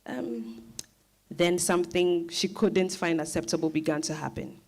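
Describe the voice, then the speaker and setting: A woman's voice: on the low side, fairly even intonation, measured pace, a little mellow. A woman narrating a scripted dramatized reading as storyteller.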